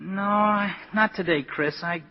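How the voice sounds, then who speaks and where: An actor playing a naive, good-hearted, downcast young working-class fellow in a 1940s radio drama, simple and hesitant in speech. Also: male voice, high voice, measured pace. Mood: glum, naive. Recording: muffled 1940s radio broadcast.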